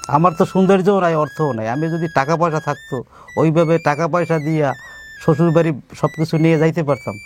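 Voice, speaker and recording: A man's voice: on the high side, fairly quick. A Bangladeshi man speaks as a guest in an interview. His talk is colloquial and humble, plain personal storytelling with no polish. There is light noise behind him.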